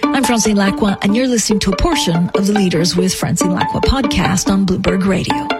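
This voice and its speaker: A woman's voice: mid-range voice, steady tone, fairly quick, over loud music. A woman hosting a podcast, interviewing a guest in a broadcast style.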